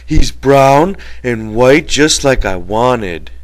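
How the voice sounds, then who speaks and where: A man voicing a big, gentle, simple-minded man in a storybook reading, with childlike, pleading phrasing. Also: fairly low pitch, expressive, unhurried. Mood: innocent, evasive.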